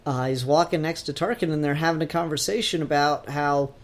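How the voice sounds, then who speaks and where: A man's voice: fairly high pitch, brisk. A man talking casually as a podcast host, opinionated and emphatic, swearing freely. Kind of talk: casual pop-culture podcast discussion.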